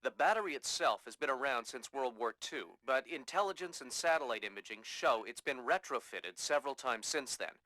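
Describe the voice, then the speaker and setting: An actor playing a businesslike mission planner giving a clipped, matter-of-fact briefing in a video game. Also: male voice, mid-range voice, lively intonation, brisk, few pauses, pushed and bright, no room sound, low-quality recording.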